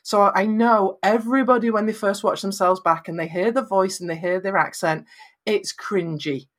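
A woman from northwest England with a Lancashire accent and flat vowels, giving encouraging advice about presenting on camera in an emphatic, conversational way. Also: medium pitch, moderate pace. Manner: emphatic, encouraging, acts out little quotes. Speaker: guest giving video advice.

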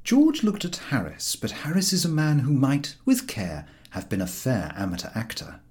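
Male audiobook reader for comic fiction, expressive scripted delivery with a hugely varied pitch. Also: moderate pace.

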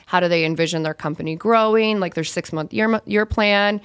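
A young woman who is losing her voice and sounds raspy, talking candidly in long explanations as a podcast guest. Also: medium pitch, brisk, no echo, studio quality. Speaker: podcast guest, brand consultant. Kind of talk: casual podcast chat.

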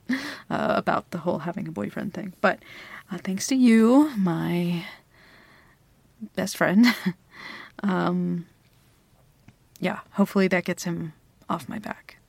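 A woman plays a flustered young woman with a crush on her best friend, in an intimate ASMR audio roleplay. She speaks slowly and hesitantly, stammering in a giggly way. Her voice is full of vocal fry and sounds very dry and close. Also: fairly low pitch, a little mellow, no room sound, full character voice. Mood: excited, relieved. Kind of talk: ASMR girlfriend roleplay, intimate one-sided dialogue.

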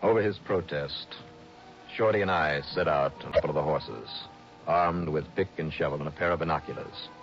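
An American actor playing a tough, determined investigator in a 1960s radio detective drama, terse and brisk. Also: male voice, rumbling low. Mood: terse, suspenseful. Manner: terse, brisk, hard-boiled. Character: tough, determined male insurance investigator narrating his case. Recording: dull vintage radio recording with music.

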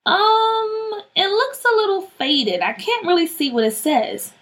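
A woman's voice: very high voice, unhurried, a bit bright, pitched above the performer's own voice. A woman voicing a helpful but unsure young schoolgirl, hesitant and anxious, in a children's story.

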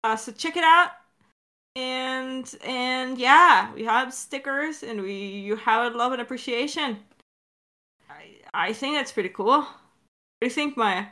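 A woman co-hosting a podcast in a casual Q&A chat, talking in an animated, informal, rambling way. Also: sits high, a little slow, long pauses. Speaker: podcast co-host and audio drama director.

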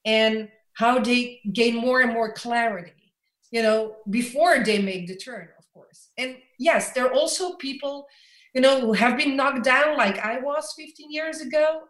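A woman's voice: a little high, measured pace, a bit bright. A Belgian woman whose first language is Dutch, an author and coach telling her story in a reflective interview and laughing often. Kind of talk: conversational interview. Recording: echoey room.

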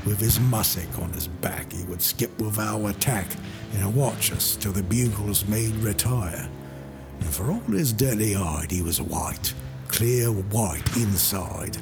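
A man voicing a rough old British soldier, reciting a war poem in heavy with bellowed calls. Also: fairly low pitch, animated, takes it slowly, heavily acted. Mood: gruff recollection. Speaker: audiobook narrator. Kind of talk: dramatic poetry reading. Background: music.

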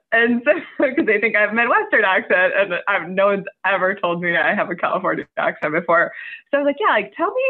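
A woman in her twenties chatting casually and telling an amused story. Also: mid-range voice. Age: 20s. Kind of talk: casual chat. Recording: tinny phone-like line.

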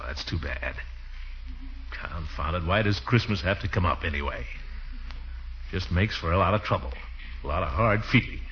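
Casting: blustery, pompous but tender-hearted uncle, highly animated delivery, vintage radio sound. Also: male voice, slight background noise. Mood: remorseful. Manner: blustering, sighing, swings from scolding to sheepish. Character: blustery, pompous, soft-hearted middle-aged uncle raising his nephew. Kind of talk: 1940s radio comedy acting. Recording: dull 1940s radio recording.

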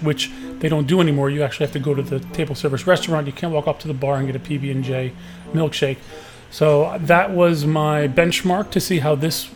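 A man hosting a podcast with a booming voice, telling a story in an easygoing, chatty way. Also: a little mellow. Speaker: podcast host. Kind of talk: casual podcast recap.

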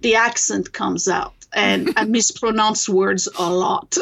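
A woman's voice: mid-range voice, takes it slowly, gravelly. A woman in her fifties from France with a French accent, talking as a guest in a casual, earnest podcast interview. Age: 50s. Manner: earnest and expressive.

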